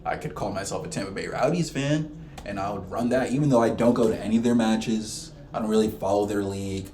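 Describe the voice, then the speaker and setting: Laid-back low-voiced young man, slangy. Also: quick pace, warm tone, reverberant. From Florida. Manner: laid-back slang, says "bro" a lot. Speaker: podcast co-host. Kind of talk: casual sports chat.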